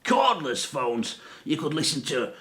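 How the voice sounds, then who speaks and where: British bloke presenting, really bright forceful voice, high for a man, blunt and chatty explainer. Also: big pitch swings, unhurried. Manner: blunt, emphatic, mildly sweary. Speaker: radio show presenter. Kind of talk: informal explainer to camera. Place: home garage.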